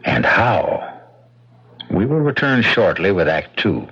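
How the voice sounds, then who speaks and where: An actor as the host and narrator of a mystery radio drama, an ominous, theatrical storyteller with an American accent. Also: male voice, low-pitched, big pitch swings, slow pace, fairly bright tone, exaggerated voice. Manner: portentous, theatrical. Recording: muffled old radio, music behind.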